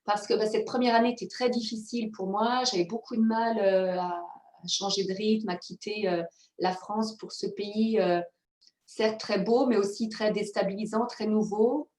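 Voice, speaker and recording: A woman speaking as a guest in a roomy, echoing space. Her pitch is middling and her delivery is reflective, with frequent fillers and pauses while she searches for words. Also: fairly even intonation, darkish tone. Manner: reflective, hesitant, many fillers. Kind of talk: casual conversational interview. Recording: echoey room.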